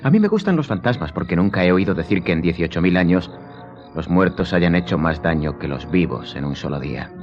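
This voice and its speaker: Radio play lead: commanding, enigmatic count, low solemn voice, measured delivery, slightly muffled sound. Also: male voice, expressive. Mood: solemn, composed. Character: grave, commanding count, calm and enigmatic nobleman. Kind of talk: radio drama acting. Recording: dull lo-fi sound, faint music behind.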